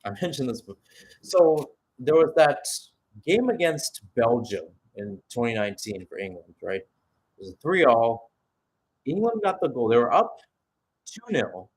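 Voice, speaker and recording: A man's voice: mid-range voice, very animated, measured pace, pauses often, low-quality recording. Man calling live football play-by-play. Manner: conversational, analytical asides between short clipped calls. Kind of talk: live radio-style sports commentary.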